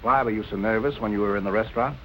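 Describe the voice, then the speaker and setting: Calm, probing police captain played by a man. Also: on the low side, a little hiss or hum, close to an everyday voice, low-quality recording. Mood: politely insistent. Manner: measured, politely insistent questioning. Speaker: actor. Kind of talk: 1940s film noir acting.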